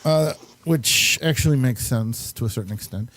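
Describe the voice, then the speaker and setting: A man hosting a casual talk show, introducing guests in a halting, chatty way. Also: mid-range voice, a bit bright, rough recording. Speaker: show host.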